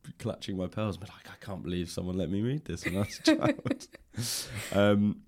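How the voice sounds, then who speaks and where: A man's voice: low voice, animated, brisk. A man interviewing in a casual, thoughtful, roundabout way as a podcast host. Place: library room.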